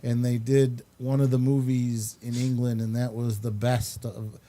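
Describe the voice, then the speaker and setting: A man's voice: medium pitch, level tone, measured pace, mellow. A man hosting a casual panel chat, rambling through his points with many ums and uhs. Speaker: show host.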